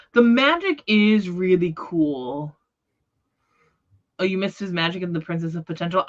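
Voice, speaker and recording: A woman with a fairly low voice hosts an informal book discussion. She restarts and rephrases as she goes, speaking straight to her viewers. The audio is a little dull and lo-fi, like a livestream. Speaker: book readalong livestream host. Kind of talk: casual book discussion chat. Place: online livestream.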